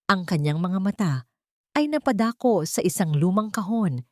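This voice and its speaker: A woman's voice: deep voice, a little mellow, no echo, clean studio sound. A woman reading a scripted dramatic story as an audiobook narrator.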